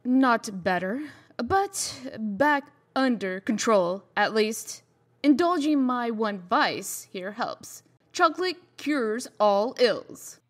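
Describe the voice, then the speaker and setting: A woman's voice: a little high, expressive, slow pace. A woman playing a proud, composed fairy-tale heroine holding back worry, formal and firm in a comic dub drama. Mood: composed, resolute.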